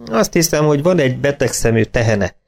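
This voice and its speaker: A man voicing a brisk, impatient middle-aged vet who gives curt orders in a read-aloud story. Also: medium pitch, sing-song, vocal fry, a little mellow, soft background music, pitched above the performer's own voice. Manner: curt, hurried, commanding.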